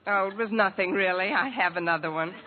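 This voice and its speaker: An actress playing a sardonic schoolteacher who tosses off deadpan wisecracks in 1940s radio comedy style. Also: female voice, mid-range voice, quick pace, narrow, tinny audio, close to an everyday voice. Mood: dry. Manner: deadpan, dry wisecracks with swooping inflection. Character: wry, sardonic unmarried high school English teacher. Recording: thin, noisy vintage radio recording.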